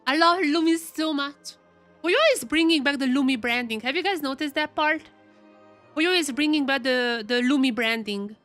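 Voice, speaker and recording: A woman giving casual, chatty live commentary on a video game, excitable and often repeating her phrases. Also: high voice, normal speed. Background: game music.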